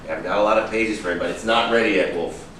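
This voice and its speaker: An actor in a staged reading playing an intense, needy, proud writer. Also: male voice, lowish voice, fairly even intonation, fast talker, fairly bright tone, close to an everyday voice. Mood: driven. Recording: echoey room from far off, noisy.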